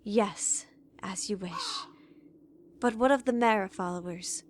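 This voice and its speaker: An actress playing a subservient, eager cultist follower of a necromancer god, speaking deferentially. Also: female voice, mid-range voice, unhurried, slight background noise, lightly acted. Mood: servile.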